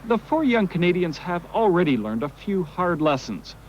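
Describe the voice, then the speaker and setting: A man narrating a television news report in a measured broadcast style. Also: high voice, sing-song. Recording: slightly dull broadcast audio with faint noise.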